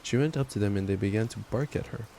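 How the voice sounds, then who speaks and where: Young guy doing cuddly boyfriend asmr, soft dark tone, casual and playful, bit of rain in the background. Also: lowish voice, fast talker, vocal fry, low-quality recording. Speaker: ASMR roleplayer playing a boyfriend. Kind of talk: intimate ASMR boyfriend roleplay with bedtime story reading. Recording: steady rain noise. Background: rain sounds.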